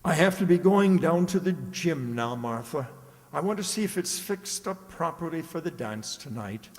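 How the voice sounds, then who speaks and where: A man's voice: fairly high pitch, lively intonation, moderate pace. A man playing a vain, blustering middle-aged husband, pompous and exasperated, in a radio comedy. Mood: flustered, coy. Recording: echoey hall, long reverb.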